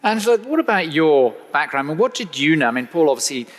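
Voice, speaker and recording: A man's voice: a little high, big pitch swings, fast, fairly bright tone. A man hosting a friendly on-stage interview, chatty and hesitant, often repeating his words. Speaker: host interviewing church members. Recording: reverberant hall.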